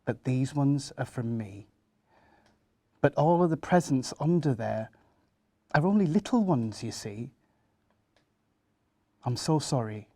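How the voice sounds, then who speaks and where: A man voicing a gentle, apologetic mother breaking bad news to her children in a children's story, soft and hesitant. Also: medium pitch, animated, takes it slowly, long pauses, no echo. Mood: sorry, tender.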